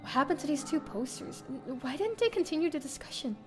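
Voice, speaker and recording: A young woman with a high voice reads a visual novel aloud on a livestream. She acts out the first-person narration with dramatic expression. Music plays faintly behind her. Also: a little fast. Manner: expressive, acted-out reading. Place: indoor room, livestream setup.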